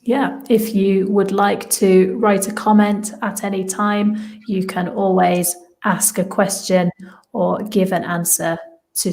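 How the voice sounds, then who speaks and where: A woman's voice: on the low side, creaky, darkish tone, rough recording. A woman with an English accent co-hosting an online language lesson, using simple, plainly phrased sentences for learners. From Midlands of England. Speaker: English teacher, webinar co-host.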